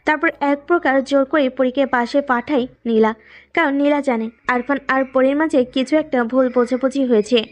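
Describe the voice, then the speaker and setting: A woman narrates a romantic story from a script. Her voice is high, and she tells it as the storyteller.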